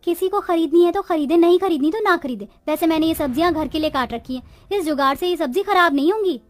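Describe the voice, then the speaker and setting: Poor village housewife for a cartoon moral tale, played by a woman: high voice, dramatic, complaining, close dry recording. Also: fast talker, dry recording. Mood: indignant. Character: village women of a poor family, resourceful daughters-in-law and their mother-in-law. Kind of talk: Hindi cartoon moral-story dialogue.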